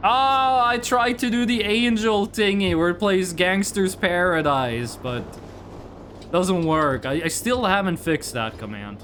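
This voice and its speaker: A man's voice: high-pitched, measured pace, fairly bright tone, slight background noise. A young man from northern Norway, chatting casually on a live stream while gaming, rambling and restarting his sentences. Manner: rambling, restarts sentences, reads chat aloud.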